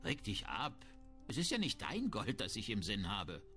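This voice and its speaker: A man's voice: fairly low pitch, wildly swooping pitch, normal speed, fairly bright tone. A man playing a shrewd, grumbling, self-important town merchant in a fantasy video game. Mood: sly, bargaining. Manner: haggling, disdainful, self-important. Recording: music behind the voice.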